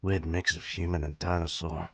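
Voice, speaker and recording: An actor playing a gruff, blunt veteran mutant tracker in a comic dub, speaking in terse, clipped sentences. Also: male voice, low voice, level tone, normal speed, a bit bright, dry recording, full character voice. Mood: grim, certain. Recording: background music under the voice.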